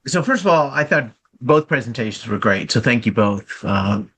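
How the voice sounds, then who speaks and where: A man's voice: medium pitch, lively intonation, moderate pace, fairly bright tone, slightly rough. Rambling man on a video call. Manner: rambling, with ums and repeats. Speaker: call participant. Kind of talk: casual remarks on a video call.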